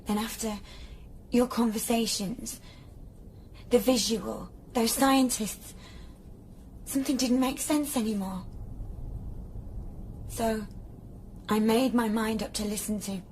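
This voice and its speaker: A high, fragile female voice plays an anguished artificial being in an audio drama. She speaks slowly and haltingly, emotionally raw, with faint noise behind her. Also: darkish tone, poor audio. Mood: subdued, lost. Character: anguished artificial woman doubting her own identity. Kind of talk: audio play dialogue.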